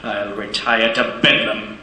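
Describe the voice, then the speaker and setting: An actor playing a bitter, stingy old miser who snaps and sneers, in a live stage radio play. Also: male voice, lowish voice, little pitch movement, slow, pushed and bright, exaggerated voice. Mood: curt. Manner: snapping, sneering, clipped. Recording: echoey theater stage.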